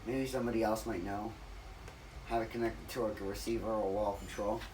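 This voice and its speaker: A man with a raspy voice rambling through a casual, off-the-cuff demonstration, correcting himself and losing his train of thought. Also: lowish voice, steady tone, fairly quick, a little mellow, recorded from a distance, slight background noise, low-quality recording. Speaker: ceiling fan collector demonstrating a fan. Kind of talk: casual off-the-cuff video narration.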